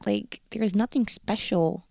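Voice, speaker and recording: A woman's voice: mid-range voice, slow, vocal fry, a little mellow, dry recording, clean studio sound. A woman from the Netherlands with a Dutch accent, Dutch as her first language, speaking frankly as an interview guest in casual chat.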